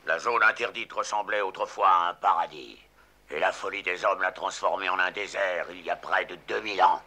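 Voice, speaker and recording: A man's voice: deep voice, brisk, pushed and bright, full character voice. An actor playing a solemn male ape scholar in a French film dub, speaking in a grave, lecturing way.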